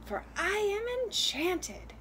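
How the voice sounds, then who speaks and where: Storybook wren played by a woman: tiny magical bird, kindly and gracious, very high voice, lively swoops. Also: takes it slowly, noisy background, heavily acted. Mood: grateful. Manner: gentle, gracious, formal storybook speech. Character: tiny enchanted female wren, kindly, cheerful, grateful.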